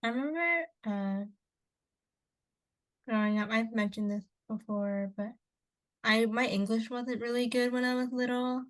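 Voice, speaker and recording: A woman's voice: a little high, measured pace, pauses often. A young woman who grew up speaking Cantonese at home, co-hosting a casual podcast chat, talking in a rambling way with many restarts and asides. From Canada. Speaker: podcast co-host.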